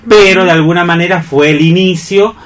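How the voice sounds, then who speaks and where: A man with an Argentine accent in reflective radio conversation, haltingly thinking aloud and rephrasing as he goes. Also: sits high, far from the mic. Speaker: radio programme co-host.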